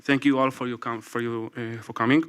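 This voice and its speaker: A man in his forties with an Israeli accent, a native Hebrew speaker, reading a prepared talk from his phone to a live audience. He speaks carefully, with pauses and apologies. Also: mid-range voice, little pitch movement, fairly bright tone, smooth. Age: 40s. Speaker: speaker at a public event. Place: event stage before a live audience.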